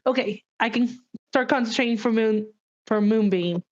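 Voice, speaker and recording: Woman tabletop player, high voice, unhurried, muttering asides, slightly dull lo-fi mic. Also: a little mellow. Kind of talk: casual game chat.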